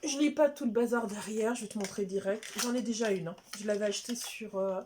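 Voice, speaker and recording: A woman's voice: medium pitch, brisk, background noise. A woman chatting casually as she unboxes beauty products, rambling and interrupting herself, sometimes dropping to a near-whisper. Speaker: beauty vlogger.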